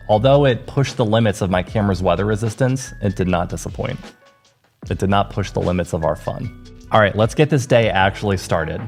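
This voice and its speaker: A man with a fairly low voice narrates a travel vlog as co-host. His delivery is casual and conversational, and music plays behind him. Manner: informal, conversational.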